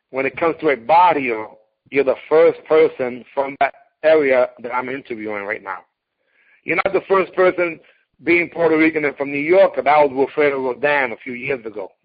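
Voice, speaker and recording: A man hosting a casual interview, speaking emphatically and effusively, with respectful formality toward the guest, on dull radio-style audio. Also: on the high side. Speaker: interviewer, host of a martial arts talk show. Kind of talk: casual interview, enthusiastic introduction.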